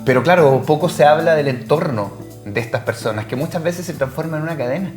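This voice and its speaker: A man's voice: a little high, lively intonation, fast talker, darkish tone. A man with a Chilean accent co-hosting a television interview, commenting and asking questions conversationally. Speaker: TV show co-host. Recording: roomy echo, music clearly behind. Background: background music.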